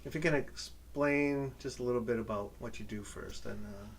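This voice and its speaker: A man interviewing a guest in an informal church setting, speaking in a halting, informal way. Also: medium pitch.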